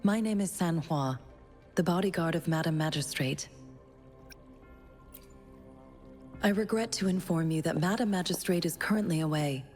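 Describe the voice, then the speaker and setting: A woman playing a calm, polite, formal young bodyguard in a video game, speaking in a measured way. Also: fairly low pitch, long reverb tail, faint music behind, close to an everyday voice. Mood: formal, courteous. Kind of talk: scripted video game dialogue.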